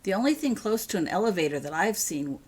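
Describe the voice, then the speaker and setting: Storybook schoolgirl, earnest and open, slightly husky played by a female narrator. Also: mid-range voice, sing-song, fast talker, darkish tone. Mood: chatty. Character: earnest schoolgirl home for the holidays, attached to her cat.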